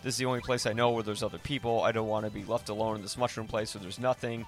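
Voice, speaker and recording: A man's voice: fairly low pitch, fairly even intonation, rapid-fire. Enthusiastic man chatting casually, music behind. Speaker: podcast host discussing films. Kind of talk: casual film discussion.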